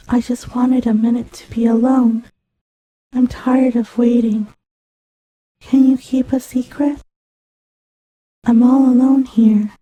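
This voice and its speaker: A woman playing a very soft, lonely machine child. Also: fairly high pitch, poor audio. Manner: slow, halting, pleading. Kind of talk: scripted audio drama monologue.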